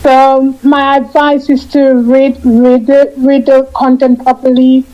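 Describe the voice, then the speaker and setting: High-voiced woman with a mellow tone. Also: level tone, highs cut off. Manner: halting, repeats and restarts phrases. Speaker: guest. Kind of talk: casual radio interview chat.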